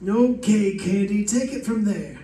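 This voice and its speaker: A man's voice: sits high, expressive, a little slow, warm tone. A man playing a suspicious, dogged police detective, pressing with questions in old-time radio drama style. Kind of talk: 1940s radio detective acting. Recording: roomy, distant mic.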